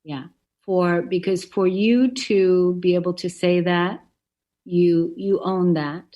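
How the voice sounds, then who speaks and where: A woman hosting a talk radio show, speaking warmly and affirming her guest by repeating phrases. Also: lowish voice, steady tone, slow. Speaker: host. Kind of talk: encouraging talk show chat. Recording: dull lo-fi sound.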